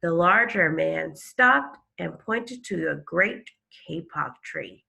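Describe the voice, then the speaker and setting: A woman teaching young children, reading aloud and explaining in a deliberate, emphatic, questioning way. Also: on the low side, animated, creaky, sharp tone. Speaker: second grade teacher. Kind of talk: instructional read-aloud for children.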